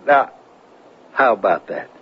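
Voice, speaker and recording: An actor playing an excitable, boastful CB radio yarn-spinner with a stammering, folksy, eager manner in a 1970s radio drama. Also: male voice, mid-range voice, measured pace, close to an everyday voice. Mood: excited, bragging. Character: excitable, boastful male CB radio tall-tale teller. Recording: dull, noisy 1970s radio recording.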